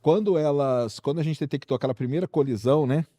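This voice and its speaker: A man's voice: fairly high pitch, quick pace, dark tone, no echo, studio quality. A man with a Brazilian accent hosting a casual science chat, addressing the audience with rhetorical questions and everyday examples. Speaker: science show host.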